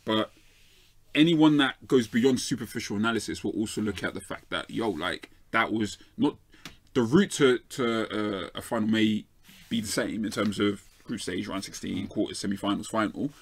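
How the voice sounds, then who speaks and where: A man's voice: on the low side, normal speed. A man with a London accent arguing his points emphatically as a panelist on a football debate show. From South London, England. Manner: emphatic, argues with rhetorical tags like "right?". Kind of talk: opinionated sports debate.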